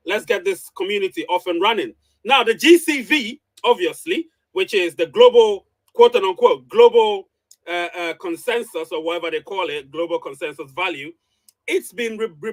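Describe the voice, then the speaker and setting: A man hosts a live online commentary show in a fairly high voice. He speaks straight to his followers. His delivery is emphatic, and he often repeats phrases to drive his points home. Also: animated, moderate pace. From Ghana.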